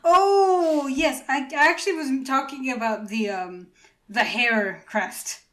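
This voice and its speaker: A woman's voice: high voice, slow pace, fairly bright tone. A woman in her mid twenties chatting casually as a podcast co-host. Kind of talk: casual chat.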